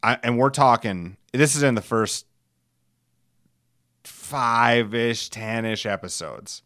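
A middle-aged man with a smooth, clear voice, recorded close and dry. He hosts a podcast as a loose, rambling storyteller. His manner is folksy and salty, with self-deprecating humor. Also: mid-range voice, slow pace, a bit bright, no echo. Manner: chuckles while telling stories. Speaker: podcast host.

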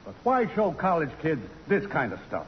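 An actor playing a blunt, grumbling, complacent middle-aged trustee in a 1950s radio drama. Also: male voice, a little high, wildly swooping pitch, moderate pace. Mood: dismissive. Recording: dull, echoey, faintly noisy radio recording.

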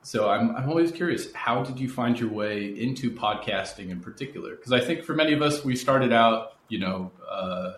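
A man's voice: mid-range voice, expressive, moderate pace, hardly any pauses, a bit bright. A man hosting a conversational podcast interview in a reflective, discursive way. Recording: roomy echo, faint noise.

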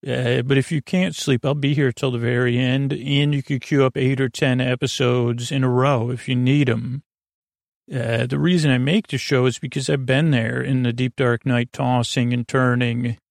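A man hosting a sleep podcast in a casual, meandering monologue, halting and repeating himself as he drifts into tangents. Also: mid-range voice, level tone, creaky, dry recording, studio quality. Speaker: podcast host.

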